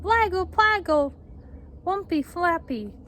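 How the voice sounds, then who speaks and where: A woman's voice: very high voice, expressive, slow, fairly bright tone, a little hiss or hum, pitched above the performer's own voice, exaggerated voice. A woman voicing an upset, insistent toddler girl who babbles in made-up baby words for a storybook. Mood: urgent babbling.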